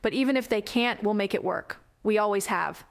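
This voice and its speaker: A woman's voice: mid-range voice, a little fast, vocal fry, dry recording, natural voice. Female reader playing determined caring sister, earnest audiobook dialogue, mid-range voice, very dry recording. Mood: reassuring.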